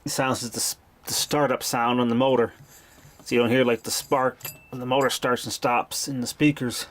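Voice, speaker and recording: A man's voice: medium pitch, level tone, moderate pace. A man giving a casual, off-the-cuff repair narration as a hobbyist, plain-spoken and informal with some mild swearing. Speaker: hobbyist repairer of vintage tape machines. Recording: clearly noisy amateur video recording.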